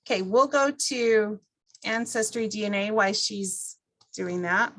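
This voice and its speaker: A woman presenting an informal software walkthrough to a class, explaining things step by step in a conversational way. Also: medium pitch, measured pace.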